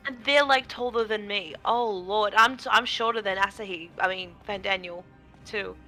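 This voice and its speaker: A woman's voice: mid-range voice, moderate pace, bright, forceful tone. A woman giving casual, blunt and exclamatory commentary on a game stream. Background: game music.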